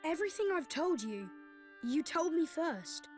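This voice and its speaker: A high, youthful boy prince, gentle and wise beyond his years. He explains things with measured earnestness, as in a game cutscene, with orchestral music playing behind him. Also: big pitch swings. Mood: earnest, calm. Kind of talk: video game cutscene acting.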